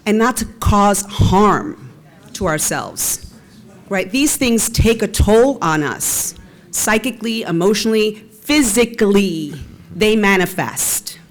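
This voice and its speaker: A woman panelist and former chief executive speaking frankly and emphatically, often checking in with "right?". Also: mid-range voice. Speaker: panelist, former nonprofit CEO. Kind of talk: panel discussion.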